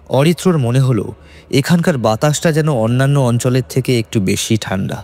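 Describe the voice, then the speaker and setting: A man narrating a scripted horror story in suspenseful, measured phrases. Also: lowish voice, a little mellow. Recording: faint music behind.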